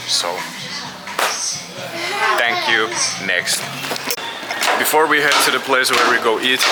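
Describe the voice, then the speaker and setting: A man with a Finnish accent chatting casually and bluntly as a vlogger, swearing freely in an informal way. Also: lowish voice. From Finland. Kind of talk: casual chat to camera. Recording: clear background noise, traffic and ambience.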